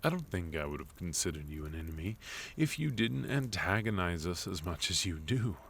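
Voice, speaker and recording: A man playing a sophisticated, courteous villain leader in a soft-spoken, gently teasing ASMR roleplay. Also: sits low, big pitch swings, moderate pace, vocal fry, slight background noise, exaggerated voice. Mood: calm, thoughtful. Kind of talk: ASMR roleplay, intimate scripted monologue to listener.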